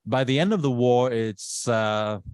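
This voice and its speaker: A man's voice: mid-range voice, unhurried, a little mellow, smooth, no echo. Male lecturer, non-native English speaker, dry sound. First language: not English. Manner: conversational, frequent tag questions. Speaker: university lecturer. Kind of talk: university lecture. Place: lecture room.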